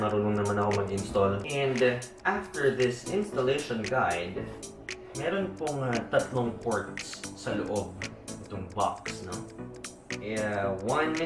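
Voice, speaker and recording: Filipino male motovlogger, mid-pitched, casual chatty unboxing talk, echoey room with music behind. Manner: chatty Taglish with frequent "no?" tags. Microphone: small handheld camera mic. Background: background music.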